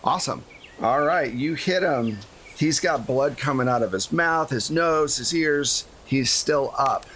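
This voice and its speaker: A man's voice: fairly high pitch, expressive, unhurried, a bit bright. Casual man running a tabletop game, faint noise. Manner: casual, conversational. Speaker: game master. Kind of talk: narrating a tabletop roleplaying game.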